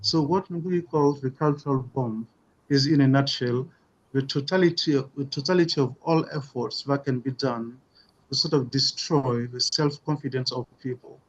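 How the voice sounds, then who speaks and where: Man, academic lecture, measured, filler-heavy, mid pitch, dull video-call sound. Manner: measured, with frequent "you know" and "uh". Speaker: webinar speaker.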